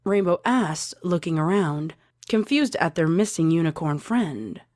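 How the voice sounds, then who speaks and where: A woman's voice: lowish voice, lively intonation, measured pace, a little mellow, dry recording, clean studio sound. A woman narrating a scripted story as a fan fiction reader. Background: music.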